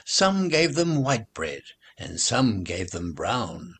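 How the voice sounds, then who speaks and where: Husky, unhurried man reciting nursery rhymes. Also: fairly low pitch, very animated, a bit bright. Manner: sing-song, deliberate phrasing. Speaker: narrator reading nursery rhymes. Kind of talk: slow expressive recital of children's verse.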